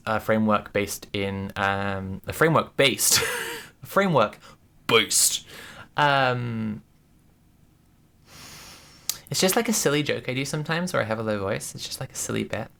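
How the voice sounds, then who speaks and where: A woman's voice: a bass voice, creaky, fairly bright tone. A woman streaming, talking casually and thoughtfully to her chat, with many restarts and fillers.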